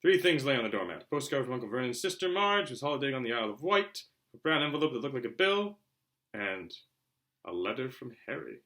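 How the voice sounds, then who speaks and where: A man narrating a children's novel aloud in an expressive storytelling style. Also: medium pitch, fast talker. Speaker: audiobook reader.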